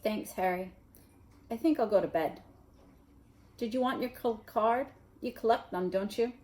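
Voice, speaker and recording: A woman reader plays a timid young schoolboy in a storybook. He sounds dejected and shaky, mumbling his words in a fairly high voice. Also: a little slow, darkish tone, lightly acted. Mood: meek.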